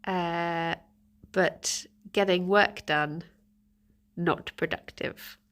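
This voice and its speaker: A woman's voice: lowish voice, fairly even intonation, very slow, fairly bright tone. A young woman streaming from home, chatting casually and greeting viewers by name, a little congested with a stuffy nose. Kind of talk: casual morning chat with viewers.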